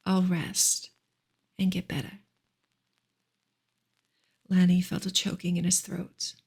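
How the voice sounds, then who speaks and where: A woman reading a novel softly in ASMR style, gentle and unhurried, often slipping into a whisper. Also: lowish voice. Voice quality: soft, breathy, often dropping into a whisper. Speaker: ASMR reader and streamer.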